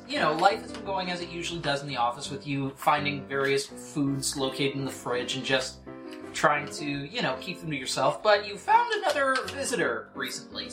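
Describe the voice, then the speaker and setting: A man running a tabletop game as game master, narrating in a relaxed, chatty way. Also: a little high, reverberant. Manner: relaxed, chatty, uses fillers.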